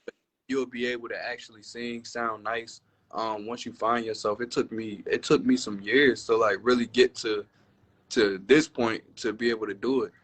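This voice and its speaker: A man with a deep voice, a musician answering interview questions in a relaxed, reflective way. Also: moderate pace. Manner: relaxed, reflective, says "you know" often.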